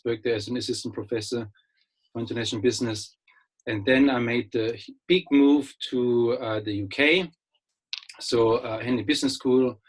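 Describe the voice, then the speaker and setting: Husky-voiced German man lecturing. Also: medium pitch, rough recording. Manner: hesitant, many fillers and restarts, friendly. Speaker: guest speaker, business lecturer. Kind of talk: webinar talk. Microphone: computer mic on a video call.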